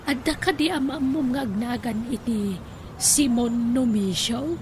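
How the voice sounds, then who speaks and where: A woman's voice: on the high side, unhurried, darkish tone, a little hiss or hum, natural voice. A woman playing a humble, pleading older wife and grandmother with an Ilocano accent in an audio drama. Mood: polite.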